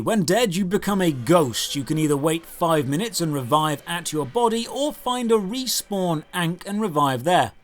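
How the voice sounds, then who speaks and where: A man delivering a scripted comedic video game review in a sarcastic, mock-incredulous manner. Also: high-pitched.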